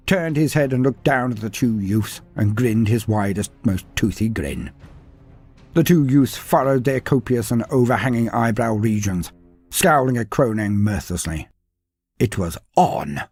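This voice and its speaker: A man with a husky voice reading a fantasy tale in a dry, wry storytelling manner. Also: medium pitch, a little mellow. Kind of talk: scripted fantasy narration.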